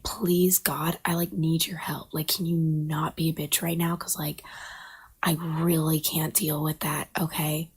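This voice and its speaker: A woman voicing a young, panicky baby bird that cannot fly yet, whining in a breathy whisper. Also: low voice, level tone, unhurried, voice pushed down, exaggerated voice. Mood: whiny. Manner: whiny, frantic.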